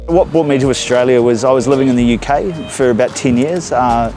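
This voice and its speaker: A man in his forties with a New Zealand accent talks in an easygoing, casual way. He is interviewed outdoors in a park, and music plays clearly underneath him. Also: mid-range voice, brisk. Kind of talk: documentary interview.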